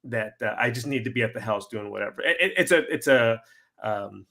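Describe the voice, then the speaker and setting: Bright-voiced rambling male host. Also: mid-range voice, expressive, vocal fry. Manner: rambling, halting, many restarts. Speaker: podcast host. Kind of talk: casual interview chat.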